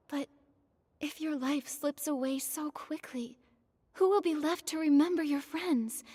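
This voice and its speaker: A gentle young heroine. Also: female voice, high-pitched, long reverb tail. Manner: gentle and reasoning. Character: calm, compassionate young heroine. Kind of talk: game cutscene voice acting.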